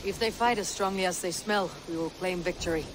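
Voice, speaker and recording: Man acting a blunt Viking chieftain, higher voice. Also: fairly quick, noisy background, natural voice. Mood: wry, resolute. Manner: steady, declarative, dryly wry. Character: blunt, wry Viking warrior chieftain, confident and commanding. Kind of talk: video game cutscene acting.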